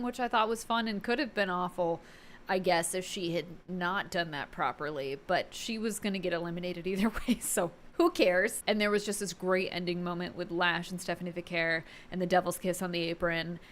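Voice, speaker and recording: A woman giving a casual, opinionated vlog recap in an expressive, gushing manner. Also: on the low side, a little hiss or hum. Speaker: wrestling review vlogger.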